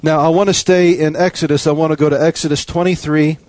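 Emphatic male preacher, fairly high. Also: fairly even intonation, quick pace, darkish tone, no echo. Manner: emphatic, repetitive preaching cadence. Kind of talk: sermon.

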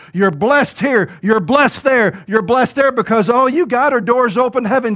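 A man preaching a sermon, building from steady talk to loud, emphatic peaks. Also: high-pitched. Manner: rises to loud emphatic preaching peaks, acts out quoted voices. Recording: dull lo-fi sound.

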